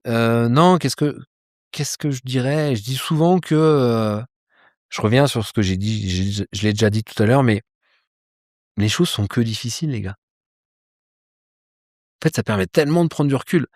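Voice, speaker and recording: A man being interviewed about life and ambition, talking in a lively casual way with frequent fillers, restarts and mild swearing, outdoors. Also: mid-range voice, moderate pace, long pauses, no echo, studio quality. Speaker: polar explorer guest. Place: outdoors with a view of a landscape.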